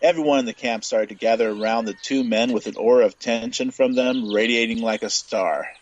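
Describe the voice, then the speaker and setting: A man's voice: medium pitch, brisk, lo-fi sound, background noise. A man reading scripted Western fiction aloud as the narrator of an audio story.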